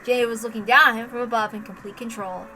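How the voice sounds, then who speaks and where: Female narrator for visual novel action, high register, forceful edge, expressive and emphatic read. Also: fast, soft background music.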